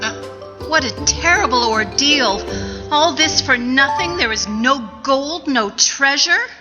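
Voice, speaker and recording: A woman's voice: high-pitched, expressive, slow pace, over music. A woman playing a sentimental, long-suffering wife in a radio comedy. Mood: dismayed. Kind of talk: radio comedy stage acting. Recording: muffled, reverberant event hall.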